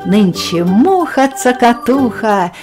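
A woman reads children's verse in a lively, rhythmic sing-song. Her voice sits a little high and moves along slowly and deliberately, while soft background music plays faintly beneath her. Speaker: storyteller reading a children's poem. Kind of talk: expressive children's verse reading.

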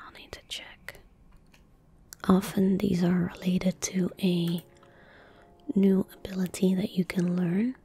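A young woman softly whispering ASMR game commentary in a breathy, gentle, hushed voice, close to the microphone. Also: fairly low pitch, little pitch movement, takes it slowly, pauses often. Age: young adult. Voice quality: whispery, breathy, soft-spoken. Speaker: ASMR gaming streamer. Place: indoor room at a desk. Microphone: close desk microphone. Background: keyboard typing and mouse clicks.

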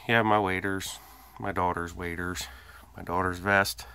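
A man's voice: sits low, flat delivery, a little slow, gravelly, pushed and bright, smooth. A man giving a casual, matter-of-fact tour of his home fishing workshop, filmed on a phone he holds himself. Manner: plain, matter-of-fact listing with hesitant fillers. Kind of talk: casual walkthrough narration. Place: cluttered home workshop indoors. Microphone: handheld phone camera held by the speaker.

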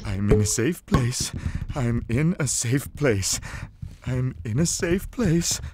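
A man's voice: mid-range voice, lively intonation, slow, a little mellow, slight background noise. A man voicing a pompous, neurotic mad scientist villain in a video game, grandiose and fussy. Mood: nervous, grudging. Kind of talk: video game cutscene acting.

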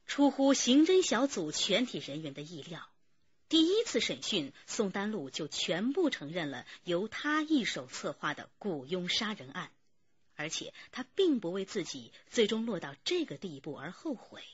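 A woman narrating a radio crime drama from a script, with a breathy voice. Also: medium pitch, big pitch swings, normal speed, dry recording, lo-fi sound. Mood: serious.

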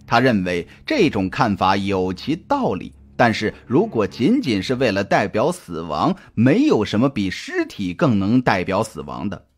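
A man reading a scripted suspense audiobook in a dramatic, deliberate, expressive storytelling manner. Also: lowish voice. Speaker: audiobook narrator. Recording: music under the voice. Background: background music.

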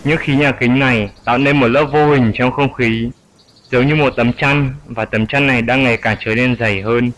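A man's voice: mid-range voice, steady tone, normal speed, a bit bright, slight background noise, close to an everyday voice, low-quality recording. A man voicing a wise, elderly grandfather bat who patiently explains things in an educational cartoon dub. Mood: patient, explaining. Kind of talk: dubbed educational cartoon dialogue.

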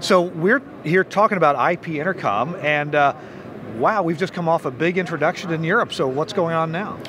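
A middle-aged or older man with a fairly high voice works as an interviewer on a trade show floor. He talks into a handheld microphone, and the noise around him is clear. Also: normal speed, a bit bright. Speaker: interviewer and host. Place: trade show booth, indoors.

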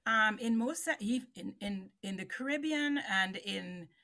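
A middle-aged woman with a Trinidadian accent, speaking slowly and emphatically on a video call panel, repeating key phrases. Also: mid-range voice, lively intonation, pushed and bright. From Trinidad and Tobago. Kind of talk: webinar presentation.

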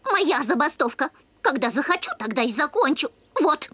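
Stubborn little cartoon puppy girl, huffy, high voice that jumps around a lot. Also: big pitch swings, moderate pace, a bit bright, no echo. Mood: sulky. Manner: huffy, childish, emphatic. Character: touchy, stubborn little red-haired cartoon dog girl.

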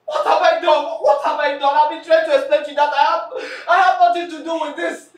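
A woman acting out a hurt, indignant young woman on stage, going from soft murmurs to shouted outrage. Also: sits high, animated, moderate pace. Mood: outraged. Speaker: stage actor. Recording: echoey stage hall from far off.

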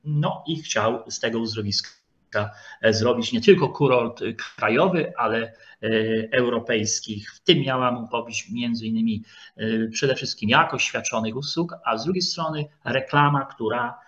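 Halting man lecturing, dull audio. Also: medium pitch, a bit bright. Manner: halting, hesitant, with frequent drawn-out filler sounds. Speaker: lecturer, historian. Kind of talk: online lecture with slides. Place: online video call. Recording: dull, lo-fi video call audio.

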